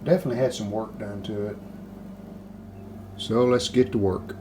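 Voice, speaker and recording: A man giving a casual hands-on repair walkthrough, unhurried and thinking aloud as he works at an indoor bench. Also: fairly low pitch, fairly even intonation. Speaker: hobbyist restorer presenting a repair video. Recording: clear background noise.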